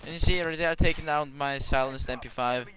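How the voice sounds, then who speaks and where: A man's voice: on the high side, a little fast. A young man with a Norwegian accent giving casual, rambling commentary over his gameplay, swearing now and then. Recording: clear background hiss.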